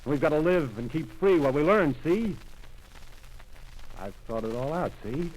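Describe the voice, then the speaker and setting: An actor playing a rough, power-hungry soldier in a 1930s radio drama, talking in coarse streetwise slang and pressing his point. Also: fairly high pitch, expressive, normal speed, a little mellow, slight background noise, lightly acted, rough recording. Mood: scheming.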